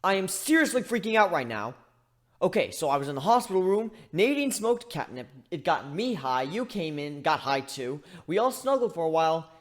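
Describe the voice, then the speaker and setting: A man's voice: a little high, big pitch swings, moderate pace, voice pushed up. A man voicing an anxious, easily flustered young male griffin in a story reading. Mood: panicked. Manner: dramatic, flustered.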